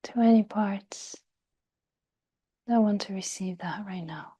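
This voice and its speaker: A woman guiding a meditation in a soft, breathy, near-whispered voice, gentle and soothing, with long pauses. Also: mid-range voice. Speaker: meditation guide. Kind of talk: guided meditation.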